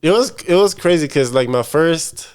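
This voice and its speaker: A man talking as a podcast guest in a relaxed, casual interview, often repeating his phrases. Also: fairly high pitch, moderate pace, clear, resonant tone. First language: English. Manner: laid-back, repeats himself.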